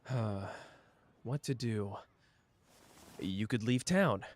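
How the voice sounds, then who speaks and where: A man's voice: lowish voice, a little mellow. A young man voicing a clever, protective young man in an ASMR roleplay, talking in a dry, teasing way close to the listener. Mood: thoughtful. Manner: dry, teasing, quick-witted, close and intimate.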